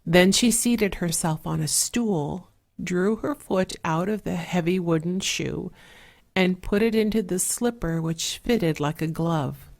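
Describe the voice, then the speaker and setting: A soft-spoken woman narrating a fairy tale in a measured, relaxing way, with an 8D surround panning effect. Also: on the low side, gravelly. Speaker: storyteller.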